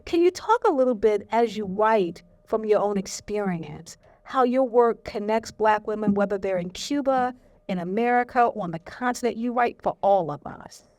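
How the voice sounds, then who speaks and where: A woman's voice: mid-range voice, animated, normal speed, a little mellow, no room sound. A woman interviewing a poet on stage, enthusiastic and personal as she asks her questions. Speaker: interviewer, host. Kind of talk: onstage interview.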